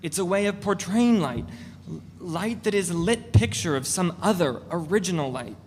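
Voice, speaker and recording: A woman voicing an eager, oblivious male artist making a stammering, self-promoting pitch in a literary reading. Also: expressive, normal speed, a little mellow, natural voice. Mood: earnest, pretentious. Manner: pushy, earnest, stammering sales pitch. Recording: echoey hall, noticeable noise.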